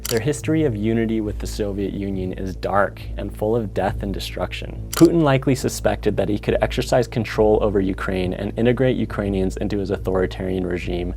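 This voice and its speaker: A man giving steady, explanatory scripted narration for a documentary. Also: fairly low pitch, a little fast, few pauses, a little hiss or hum. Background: faint background music.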